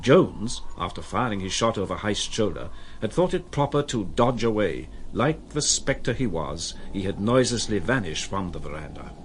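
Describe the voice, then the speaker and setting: A man reads literary narration in a low voice. His delivery is solemn, measured and dramatic, with faint noise underneath. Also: very animated.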